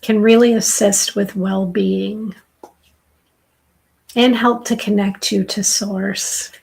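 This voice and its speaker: Slow, raspy New woman. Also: mid-range voice, flat delivery, rough recording. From southern New Hampshire. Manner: slow, soft, soothing intuitive reading. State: dry throat. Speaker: tarot reader host. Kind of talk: live-stream tarot reading.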